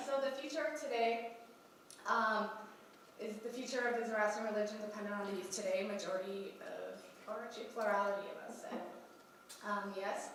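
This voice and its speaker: A woman's voice: medium pitch, steady tone, moderate pace, sharp tone, distant mic, slight background noise. Young woman with a cold, echoey hall. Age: young adult. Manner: hesitant, frequent ums. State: sick, clearing her throat. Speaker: conference presenter. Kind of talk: research presentation at a youth congress. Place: large conference hall.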